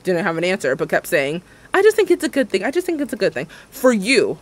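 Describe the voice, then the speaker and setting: A man's voice: high voice, huge pitch swings, fast. A young man in his twenties ranting casually on a livestream, sarcastically mimicking other people's words. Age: 20s. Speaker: streamer. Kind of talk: casual livestream chat while building in a game.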